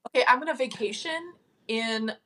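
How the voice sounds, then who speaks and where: A woman's voice: fairly high pitch, moderate pace, pushed and bright. A woman guest on a podcast, chatting casually and self-deprecatingly, with many likes and ums.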